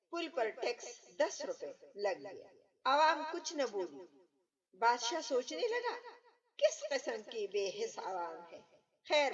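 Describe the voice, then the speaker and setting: Female narrator for scripted moral stories, higher-pitched, dull lo-fi sound. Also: recorded from a distance. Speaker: storyteller and channel host.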